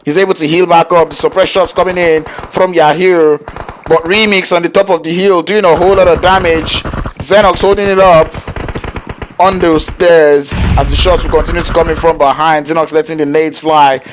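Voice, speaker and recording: A man, a Yoruba speaker, calling an esports match play-by-play in an excitable, slangy way. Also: fairly high pitch, moderate pace, slight background noise. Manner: excitable, slangy, jokes with chat. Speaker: esports caster.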